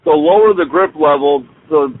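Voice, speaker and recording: A man's voice: fairly high pitch, normal speed. A man chatting casually as a guest on a phone call, thinking aloud in a conversational way. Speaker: guest, hobby club racer. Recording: noisy, tinny phone line.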